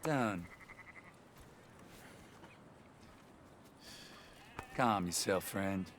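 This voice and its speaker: An actor playing a shifty, smug, stubborn livestock dealer in a western game, dry and insinuating. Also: male voice, deep voice, slow, fairly bright tone, slight background noise. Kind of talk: cinematic western game acting.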